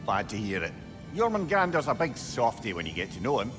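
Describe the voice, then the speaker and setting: Animated, wry old Scottish storyteller played by a man. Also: mid-range voice, a little fast, over music, close to an everyday voice. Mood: warm. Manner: wry, loquacious, old-fashioned wording. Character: genial, witty old severed head, former fairy trickster. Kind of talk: video game dialogue, storytelling banter.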